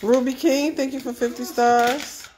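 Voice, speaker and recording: A woman co-hosts a live home cooking stream and keeps reading viewers' names and thanking them. Her voice sits a little high. Faint clinks of dishes carry behind her. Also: measured pace, slight background noise. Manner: reads names and thanks in a steady run. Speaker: co-host reading viewer comments. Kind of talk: casual live stream chat.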